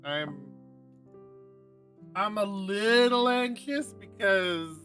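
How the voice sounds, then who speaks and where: A man's voice: high-pitched, animated, drawn-out words, a bit bright, soft background music. A man hosting a live stream, chatting casually with friends and reading a visual novel's text aloud with rambling asides. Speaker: streamer host.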